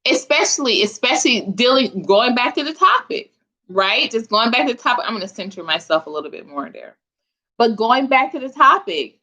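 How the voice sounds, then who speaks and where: A woman in her forties with a bright, forward voice, talking to viewers of her live stream. She is chatty and informal, addressing the listeners directly in a loose, conversational way. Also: medium pitch. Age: 40s. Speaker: host of a live chat stream. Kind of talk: casual live chat with viewers.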